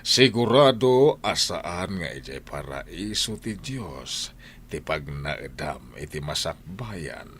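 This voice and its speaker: A man's voice: rumbling low, sing-song, slow, fairly bright tone, breathy edge, heavily acted. A man with an Ilocano accent playing a firm, reproachful husband in a radio drama, admonishing his wife. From Philippines. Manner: admonishing, preachy.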